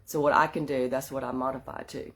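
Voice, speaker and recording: A woman's voice: extremely low pitch, little pitch movement, a little fast. Stuffy-nosed woman chatting on a live stream. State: stuffy-nosed with a slight sore throat. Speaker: host of a women's wellness group. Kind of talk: casual live-stream chat. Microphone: phone or webcam for a live stream.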